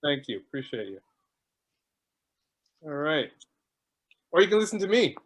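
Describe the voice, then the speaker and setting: A man's voice: on the high side, very animated, unhurried. Chatty man hosting a video call. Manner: chatty, joking, warm greetings to each arrival. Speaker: host. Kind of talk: casual chat on a video call.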